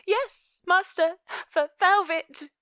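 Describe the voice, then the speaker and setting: A woman voicing a frightened, tearful young girl in an audiobook, timid, halting and submissive. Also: very high-pitched, pushed and bright, no echo, studio quality, voice pushed up, exaggerated voice. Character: frightened, tearful eight-year-old orphaned girl. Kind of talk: dramatic audiobook character voice.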